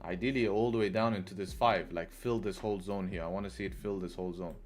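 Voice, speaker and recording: A man's voice: low voice, steady tone, fast, faint music behind. A man from England hosting a live trading stream, chatting casually, repeating phrases and answering questions from chat. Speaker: livestream trading host.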